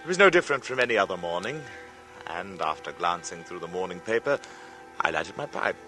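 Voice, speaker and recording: An actor in a 1940s radio drama playing an uneasy thirty-five-year-old artist, telling his strange story slowly and deliberately. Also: deep voice, lively intonation. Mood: measured, foreboding. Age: 30s. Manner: slow, halting, deliberate, dramatic. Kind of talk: 1940s radio drama acting, first-person narration. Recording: vintage radio broadcast with music. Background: dramatic music.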